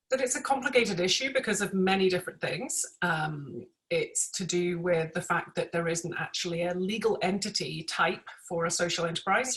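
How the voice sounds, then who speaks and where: A British woman speaks as an interview guest in a slightly low voice. She explains things in a measured, conversational way. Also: fairly bright tone, poor audio. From United Kingdom. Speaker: interview guest, executive director.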